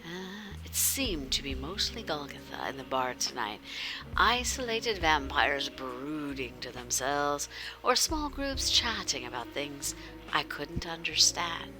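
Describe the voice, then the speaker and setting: Female streamer narrating a story game: low-pitched, slow-paced, playful and theatrical. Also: music in the background. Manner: animated, theatrical, drops into whispers. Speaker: livestream host reading a visual novel with chat. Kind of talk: playful livestream chat mixed with story narration.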